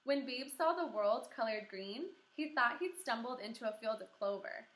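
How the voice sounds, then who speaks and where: Woman, kindergarten teacher, high bright voice, animated read-aloud, encouraging, question-and-pause delivery, echoey room. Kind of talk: read-aloud lesson for young children. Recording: roomy echo.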